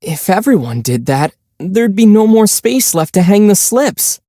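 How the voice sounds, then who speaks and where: A man voicing a calm, gentle young traveler hero in a video game, speaking thoughtfully with mild teasing. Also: sits high, heavy background noise, heavily acted. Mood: sensible, questioning. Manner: measured, thoughtful, mildly teasing. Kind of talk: video game character voice lines.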